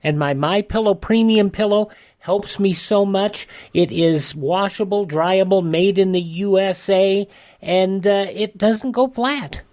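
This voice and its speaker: A woman's voice: mid-range voice, unhurried, a little mellow. A woman with an American accent hosting a radio show and reading ads conversationally.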